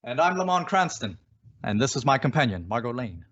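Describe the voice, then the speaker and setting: A man playing a bold, inquisitive gentleman detective in an old-style radio mystery, theatrical and self-assured. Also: mid-range voice, very animated, fairly quick. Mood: curious, composed. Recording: dull lo-fi radio play recording.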